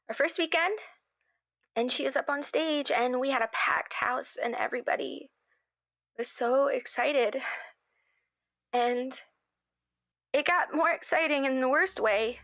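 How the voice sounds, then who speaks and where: Close and dry, a woman performs an anxious theatre organiser as a radio drama guest. Her voice sits fairly high, and she picks words carefully, hesitating and restarting in an apologetic way. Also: a little slow, pauses often, a bit bright, no room sound. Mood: grave, uneasy. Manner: hesitant, careful, politely nervous. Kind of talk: improvised actual-play radio show drama.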